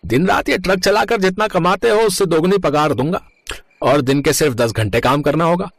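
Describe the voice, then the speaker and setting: A man's voice: on the high side, fairly quick, creaky, dry recording. A man voicing a greedy, bossy roadside eatery owner with a blunt manner in a moral story cartoon. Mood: persuasive. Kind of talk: animated moral story dialogue.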